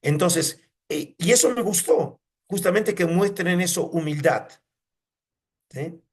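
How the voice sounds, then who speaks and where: A man's voice: high-pitched, takes it slowly, slightly rough. A man with an Argentine accent telling anecdotes in conversation as an interview guest, in an emphatic way with frequent tag questions. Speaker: museum curator, interview guest.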